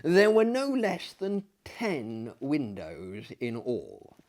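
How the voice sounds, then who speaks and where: Male storyteller, thirties. Mannered, theatrical literary delivery, unhurried, with very animated intonation. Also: mid-range voice. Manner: hammy, mannered, deliberate phrasing with dramatic swells and drops. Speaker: dramatic reader and storyteller. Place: small book-lined room indoors, seated in an armchair.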